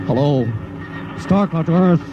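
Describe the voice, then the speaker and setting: An actor playing a commanding, embattled spaceship captain in a 1950s radio drama, delivered with a forceful, urgent, dramatic manner. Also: male voice, on the high side, measured pace, highs cut off, natural voice. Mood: desperate pleading. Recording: noisy vintage radio broadcast.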